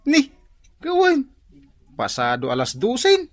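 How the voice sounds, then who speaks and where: An actor playing a teasing workmate and drinking buddy in a radio comedy drama, with an Ilocano accent. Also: male voice, extremely high pitch, wildly swooping pitch, slow pace, background noise, full character voice. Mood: chatty.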